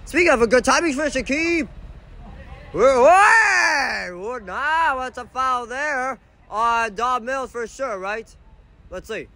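Man, high-pitched, very animated, bright tense voice, amateur match commentary, sideline phone recording, faint background noise. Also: slow. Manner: animated, shouting at the referee and players. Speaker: sideline commentator and teammate filming. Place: indoor sports dome, large. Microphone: phone camera held by the speaker.

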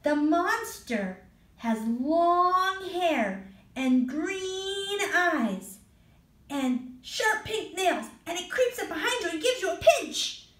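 A woman's voice: extremely high pitch, very animated, takes it slowly, fairly bright tone, voice pushed up, exaggerated voice. A woman reading a storybook aloud and voicing a bold, bossy little boy with an emphatic sing-song delivery. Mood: spooky. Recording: roomy echo.

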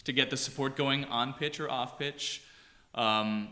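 A young man, an opera singer, casually explaining his vocal warm-ups on a concert hall stage. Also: mid-range voice, fairly even intonation, measured pace. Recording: long concert hall reverb.

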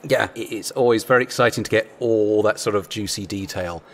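A man with a fairly low voice talks at a rapid clip. He is chatty and animated, drifting through asides as he tells his stories in casual conversation. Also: slight background noise. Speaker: audio drama writer.

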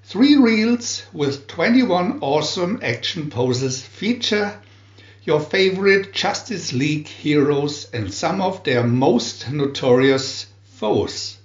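A man reading scripted children's narration like an announcer, with a deliberate, dramatic delivery. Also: fairly high pitch, expressive. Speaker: booklet reader and channel host. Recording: roomy echo, dull lo-fi sound.

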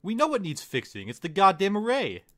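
A man's voice: high-pitched, wildly swooping pitch, a little fast, noisy background. A man doing a sarcastic, foul-mouthed comedic dub of a video game. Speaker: parody dubber voicing the game's protagonist. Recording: loud music behind the voice. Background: game music.

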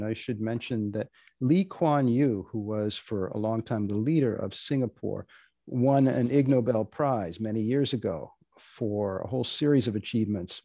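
Creaky, mellow male moderator. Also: on the low side, no echo. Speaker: host and moderator. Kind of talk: moderating a comic panel event. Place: indoor room on a video call.

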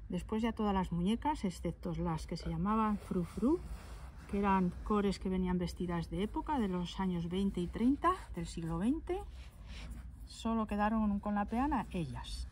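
A woman's voice: mid-range voice, a little mellow, slight background noise. Chatty woman showing dolls outdoors. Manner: chatty, affectionate, pauses to search for words. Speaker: doll collector showing her collection. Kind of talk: casual show-and-tell. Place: outdoors on a stone table in a garden.

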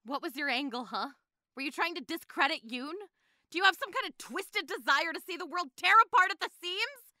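A woman playing a fierce, blunt young female warrior in an animated drama, with an intense manner. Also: very high voice, a little fast, no room sound, studio quality, full character voice. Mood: angry. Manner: accusatory, intense.

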